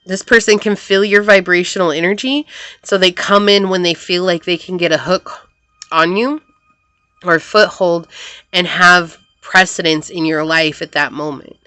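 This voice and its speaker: Soft-spoken tarot reader, a woman with a low voice. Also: steady tone, moderate pace, smooth, no echo. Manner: gentle, reassuring, speaks in affirmations to the viewer. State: slightly congested, recovering from a cold. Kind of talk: pick-a-card tarot reading to camera.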